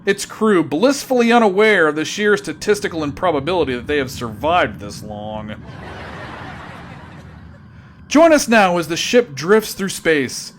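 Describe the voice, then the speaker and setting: A man's voice: on the high side, big pitch swings, normal speed, hardly any pauses, slight background noise. A man narrating a comedy radio drama with grand, theatrical delivery.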